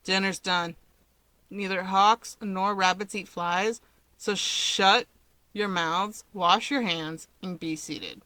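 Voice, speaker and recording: A woman voicing a gruff, weary, sardonic man, the eldest brother, in a blunt deadpan manner for a story. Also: mid-range voice, slow, no room sound. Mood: bossy, dry.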